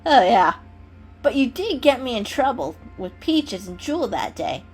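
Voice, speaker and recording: A woman's voice: fairly high pitch, sing-song, a little slow, darkish tone, breathy edge, soft background music, pitched above the performer's own voice, exaggerated voice, poor audio. A woman voicing a teasing, mischievous gray male cat in a storybook, with a casual, cheeky manner. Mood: smug, amused.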